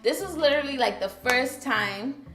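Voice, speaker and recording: A young woman vlogger telling a personal story, animated, acting out her younger self. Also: sits high, normal speed, reverberant, soft background music. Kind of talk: storytime vlog.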